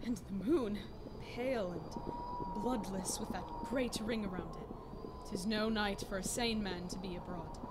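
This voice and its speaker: A woman's voice: medium pitch, huge pitch swings, measured pace, very noisy. A woman playing a bold, impatient horseman in a radio drama, commanding and exclamatory. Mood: uneasy, commanding. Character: bold, impatient horseman urging his frightened companion on. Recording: loud ambient sound effects behind.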